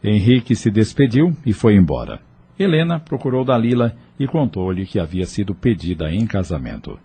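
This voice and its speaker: A man's voice: fairly low pitch, very animated, normal speed, warm tone, breathy edge. A man reading scripted narration for an audio novel.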